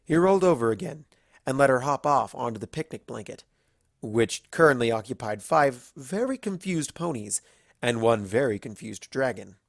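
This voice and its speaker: Expressive story narrator, close and dry. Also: medium pitch, gravelly, dry recording. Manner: animated storytelling, dramatic pauses. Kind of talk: expressive scripted fan-fiction narration.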